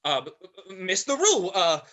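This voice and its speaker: A man's voice: high voice, very animated, moderate pace, fairly bright tone. A man playing a sly, cowardly weasel villain in a play reading, stammering and mock-formal. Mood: nervous, stammering. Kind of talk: dramatized play script reading.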